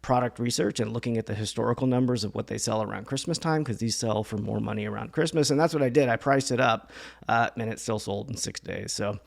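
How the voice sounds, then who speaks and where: A man talking casually to his viewers in a rambling, chatty way, his voice hoarse and nearly gone. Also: mid-range voice, fast. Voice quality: gravelly. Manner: rambling, chatty, many fillers and restarts. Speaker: reseller vlogger. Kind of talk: casual talk to camera.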